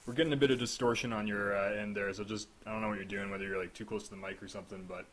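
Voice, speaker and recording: A man's voice: on the low side, steady tone, rapid-fire, a little hiss or hum. A man hosting a casual interview, asking questions with teasing, dry jokes. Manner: joking, teasing dry asides. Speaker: host.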